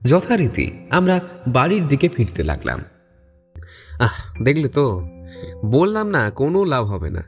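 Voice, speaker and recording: An actor playing a detective's doubtful young sidekick, telling an audio story expressively. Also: male voice, mid-range voice, wildly swooping pitch, unhurried, long pauses. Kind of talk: dramatic audio story narration and dialogue. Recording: reverberant voice over music bed.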